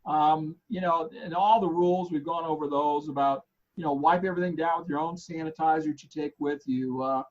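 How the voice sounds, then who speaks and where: A hoarse man with a slightly raised voice talks through golf news in loose, rambling chat. He stops and restarts often and leans on fillers. The sound is a bit dull and lo-fi. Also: fairly high pitch, steady tone, brisk. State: hoarse, losing his voice. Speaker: co-host of a golf news show. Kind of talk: casual chat.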